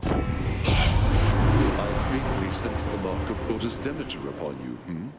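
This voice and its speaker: A sinister, courtly god of war. His voice is very deep and expressive, formal and deliberate, in game dialogue with clear ambient noise. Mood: cool approval.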